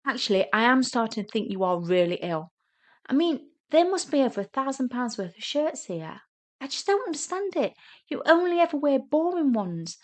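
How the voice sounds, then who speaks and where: Woman acting a sarcastic, excitable younger sister. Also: sits high, moderate pace, pitched above the performer's own voice. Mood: incredulous. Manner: mocking, excitable, bickering.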